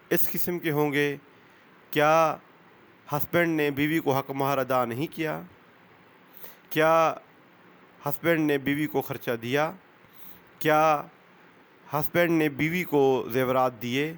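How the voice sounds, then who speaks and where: A man with a Pakistani accent, a lawyer presenting an explanatory lesson in a deliberate, didactic manner, repeating key phrases. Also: on the high side, steady tone, a bit bright, clean tone. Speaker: lawyer giving law lessons as channel host. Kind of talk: explanatory lecture.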